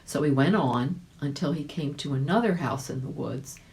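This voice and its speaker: A woman with a low voice narrates a children's storybook. She reads in a chatty way, slipping in casual asides and small questions to the listener. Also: animated, normal speed, a little mellow, rough recording. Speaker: volunteer storybook reader.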